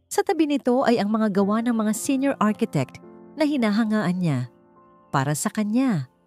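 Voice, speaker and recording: A woman reading a scripted romance story in a measured, dramatic narrator's delivery. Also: fairly low pitch, a little mellow. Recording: music under the voice. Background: background music.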